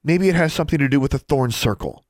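A man voicing a stubborn, excitable schoolgirl with an insistent, dramatic way of talking, in an audiobook. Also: mid-range voice, fast, husky, dry recording, studio quality, pitched above the performer's own voice.

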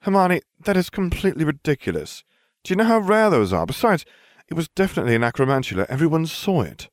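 A man's voice: on the high side, big pitch swings, fast talker, dry recording, studio quality, pitched above the performer's own voice. A man voicing a self-righteous, glib schoolboy with a pompous manner in a storybook reading. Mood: dismissive. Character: cocky, self-righteous schoolboy, indignant and oblivious.

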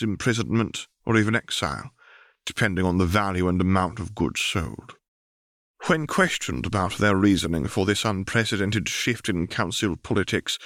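A man voicing a brisk newspaper reporter in an audiobook, delivering breaking news in a crisp, formal, declarative way. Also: on the low side, fairly bright tone, no echo, clean studio sound.

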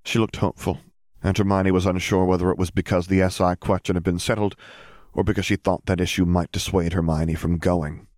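Low-pitched man reading a story. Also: little pitch movement, fairly quick, darkish tone, dry recording, clean studio sound. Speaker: audiobook narrator. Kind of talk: scripted story narration.

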